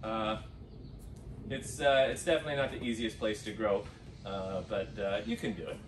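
A middle-aged man who is an organic farmer, answering interview questions in a relaxed, plain-spoken way with many fillers, recorded outdoors on a farm. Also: on the low side, normal speed, noisy background. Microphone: camera mic from a distance. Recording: roomy, distant outdoor sound.

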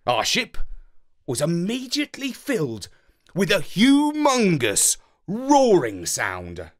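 A man voicing an excitable, loving, dramatic space dog who narrates a children's story with theatrical enthusiasm. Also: high-pitched, slow pace, heavily acted. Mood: storytelling.

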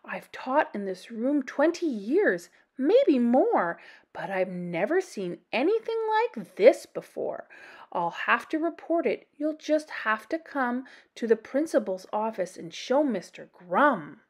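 A woman voicing a stern, exasperated older female schoolteacher from a storybook, scolding in a deliberate way. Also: fairly high pitch, big pitch swings, full character voice. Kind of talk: storybook character voice.

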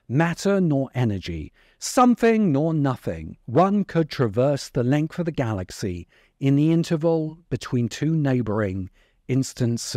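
A man's voice: medium pitch, darkish tone, no echo. A middle-aged man hosting a video essay, delivering scripted narration into a close microphone. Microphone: close microphone on a stand in front of him.

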